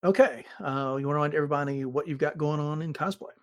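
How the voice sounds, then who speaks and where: A man's voice: a little high, quick pace, no echo. Casual male podcast host, dry close sound.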